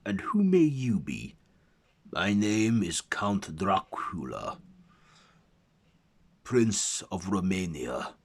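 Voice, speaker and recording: A man's voice: deep voice, big pitch swings, very slow, pauses often, exaggerated voice. A man voicing a proud old vampire prince in a stately, disdainful manner for a dramatic story. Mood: haughty.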